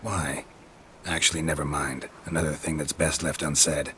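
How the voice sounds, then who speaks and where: An actor playing a terse, world-weary mutant monster hunter in a video game, deadpan and blunt. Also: male voice, extremely low pitch, moderate pace, slight background noise. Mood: grim, blunt. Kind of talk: video game character acting.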